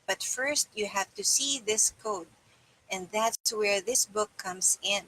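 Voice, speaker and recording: A woman's voice: mid-range voice, slow, sharp tone, poor audio. A middle-aged woman reading aloud for a live stream, with casual asides to her viewers. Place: small indoor room with bare wall.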